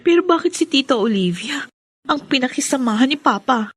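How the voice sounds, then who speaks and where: A woman's voice: high-pitched, animated, fairly quick, a bit bright, breathy edge, heavily acted. A woman playing a questioning, indignant young adult daughter with an incredulous manner in a radio drama. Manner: probing, incredulous.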